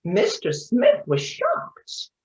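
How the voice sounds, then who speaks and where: Woman narrator, lively intonation, storybook reading, dull echoey room recording. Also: a little high, drawn-out words.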